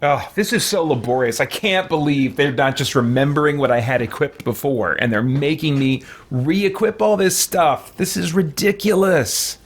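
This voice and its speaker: Gravelly man muttering through a video game. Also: fairly high pitch, moderate pace, few pauses. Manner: muttering to himself while working through menus. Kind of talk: casual gameplay commentary, thinking aloud. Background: game music, keyboard clicks.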